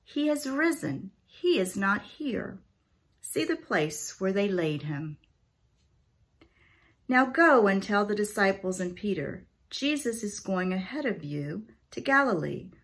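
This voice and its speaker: A middle-aged woman gives a formal scripture reading. She takes her time, phrasing each line with care, in a voice of medium pitch. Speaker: scripture reader. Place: small room at home.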